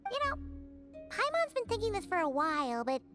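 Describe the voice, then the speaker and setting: Very high childlike voice, tiny excitable girl sidekick, chirpy, swooping intonation, video game acting, music underneath. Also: fairly quick. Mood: curious, chirpy. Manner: refers to self in third person. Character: small chirpy excitable fairy-like girl companion.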